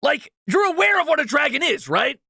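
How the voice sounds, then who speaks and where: A young man playing a cocky, excitable, jittery thief in a fantasy roleplay audio drama, joking and quick to exclaim. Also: very high voice, creaky, no echo, clean studio sound, exaggerated voice. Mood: nervous, incredulous.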